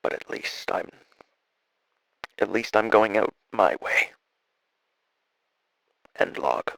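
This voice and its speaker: Audio drama: male actor as weary, desperate dying scientist, lowish gravelly voice, solemn halting log entries. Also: lively intonation, fairly bright tone, exaggerated voice, low-quality recording. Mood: resigned, apologetic. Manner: halting, solemn, weary delivery with trailing phrases.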